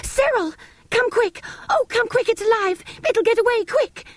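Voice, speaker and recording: A woman voicing an excitable, kindly young girl in a storybook, eager, earnest and breathlessly urgent. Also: very high voice, moderate pace, no echo, pitched above the performer's own voice. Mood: urgent alarm.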